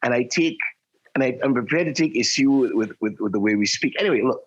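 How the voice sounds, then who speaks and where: A man's voice: mid-range voice, lively intonation, fast, husky. A man with a Trinidadian accent, co-hosting a podcast in talkative casual chat. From Trinidad and Tobago. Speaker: podcast co-host. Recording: muffled lo-fi podcast audio.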